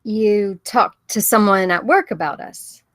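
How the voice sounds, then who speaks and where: A woman's voice: medium pitch, unhurried, a bit bright, no echo, close to an everyday voice. A woman reading the part of a playful, curious yet guarded wife in a film script, casual and teasing. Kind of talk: film script table read, naturalistic dialogue.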